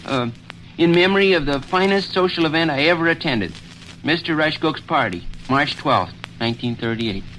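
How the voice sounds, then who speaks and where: A man's voice: on the high side, moderate pace, a bit bright, faint music behind, natural voice, rough recording. An actor playing an eager, boastful teenage boy, earnest and self-important, in 1930s radio comedy style with folksy small-town talk. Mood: enthusiastic.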